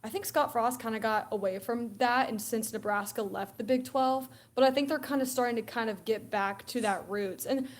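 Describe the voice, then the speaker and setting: A young woman from Alabama chats about college football as a show guest. Her voice sits a little high, and she talks in a loose, chatty way, laughing often and acting out bits of conversation. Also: normal speed, hardly any pauses. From Alabama, USA. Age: young adult. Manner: chatty, laughs often, acts out quoted exchanges. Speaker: guest co-host. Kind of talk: casual sports chat.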